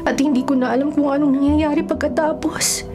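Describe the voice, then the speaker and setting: High-voiced, melodramatic young older sister, played by a woman. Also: a little fast, over music, lightly acted. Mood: anguished. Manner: melodramatic, expressive. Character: troubled young woman, affectionate older sister hiding dread. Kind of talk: radio horror drama acting.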